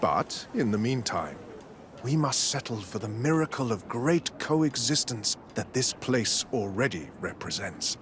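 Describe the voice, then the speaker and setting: An actor playing a zealous, smooth-talking priest in a video game, formal, preachy and condescending. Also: male voice, mid-range voice, very animated, measured pace, a bit bright, slight background noise. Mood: smugly patient. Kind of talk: scripted video game dialogue.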